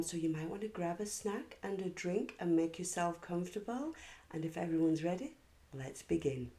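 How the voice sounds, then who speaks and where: A woman's voice: lowish voice, dark tone. A woman hosting and narrating a children's storybook read-aloud.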